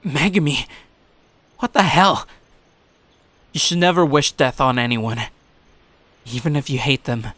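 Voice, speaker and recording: A man's voice: mid-range voice, unhurried, pauses often, fairly bright tone, no echo, lightly acted. A man playing an earnest, troubled teenage schoolboy, telling his own story in dramatic first-person visual novel narration. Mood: upset, anguished.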